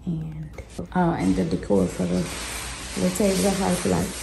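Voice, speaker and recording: A woman in her thirties with a raspy voice, chatting casually and laid-back through a home vlog, sometimes dropping to a soft aside. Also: fairly low pitch, lively intonation, moderate pace, echoey room, noisy background. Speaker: home vlogger. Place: living room in a house. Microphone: phone camera held or set on a table. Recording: background music under the voice.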